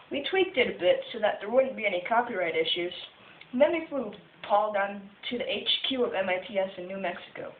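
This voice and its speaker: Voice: mid-range voice, close to an everyday voice. A boastful puppet businessman presents his own story acted out by a female-sounding performer. The delivery is scripted and self-satisfied, like a puppet show. The recording is tinny and roomy, with some hiss. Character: puppet of a famous male tech entrepreneur, boastful, jokey. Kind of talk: scripted first-person puppet-show monologue. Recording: tinny phone video, roomy, distant, hissy.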